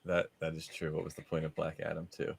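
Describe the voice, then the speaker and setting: A man's voice: rumbling low, completely flat delivery, quick pace, gravelly, slightly rough. A man hosting a casual film discussion, speaking informally with questioning, nitpicking exasperation. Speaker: podcast host.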